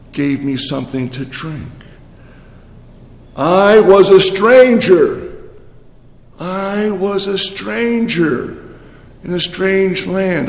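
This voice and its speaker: A man preaching a sermon, animated, swinging between loud exclamations and low, drawn-out phrases. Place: large church. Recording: echoey long reverb of a church.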